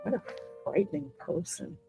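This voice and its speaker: A woman reading a story game aloud as a streamer, with expressive delivery and chatty asides. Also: lowish voice, normal speed, vocal fry. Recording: music running behind the voice.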